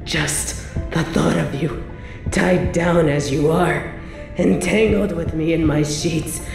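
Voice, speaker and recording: A woman's voice: low voice, fairly even intonation, slow, reverberant, over music, exaggerated voice. A woman playing an obsessive, possessive evil medieval queen in an audio roleplay, speaking in a theatrical, formal, savouring way with seductive menace. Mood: lustful, obsessive. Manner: theatrical, formal, savouring, dropping into breathy whispers. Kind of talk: scripted dramatic audio roleplay monologue.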